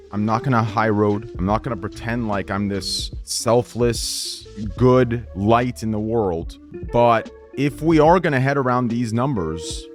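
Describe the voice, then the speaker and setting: A man chatting casually on a livestream as a streamer, blunt, profane and rambling. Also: on the low side. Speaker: Twitch streamer.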